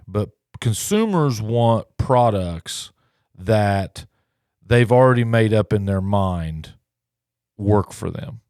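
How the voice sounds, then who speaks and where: A man's voice: lowish voice, darkish tone, no room sound, clean studio sound. A man in his forties hosting a casual podcast, talking in a rambling way that circles back and rephrases. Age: 40s. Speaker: podcast host.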